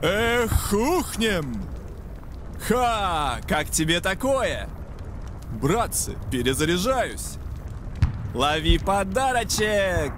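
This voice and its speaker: Bold cossack soldier for a game, high swooping battle calls, noisy battle ambience behind. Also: male voice, very animated, extremely slow, heavily acted. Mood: urgent. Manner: exclamatory, rousing folksy battle calls. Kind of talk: video game voice lines.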